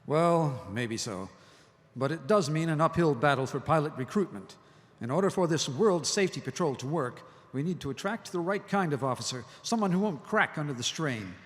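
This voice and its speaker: A man's voice: a little high, expressive, moderate pace, close to an everyday voice. A man acting as a proud, weary and powerful commissioner in a live audio drama. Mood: grim, brooding. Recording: echoey hall, live stage recording.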